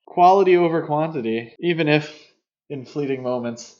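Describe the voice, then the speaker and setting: A man's voice: fairly high pitch, moderate pace, darkish tone, muffled recording. A man co-hosting a podcast, talking through films in a casual, analytical, explanatory way. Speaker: podcast co-host. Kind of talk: casual film discussion.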